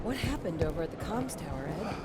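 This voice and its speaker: A steady colonist woman who keeps everyone calm, her low-set voice rising and falling with lively, animated pitch. She talks the wounded through with quiet reassurance. Loud ambient game noise hums behind her. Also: quick pace. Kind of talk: video game voice acting. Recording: game mix with noisy ambience.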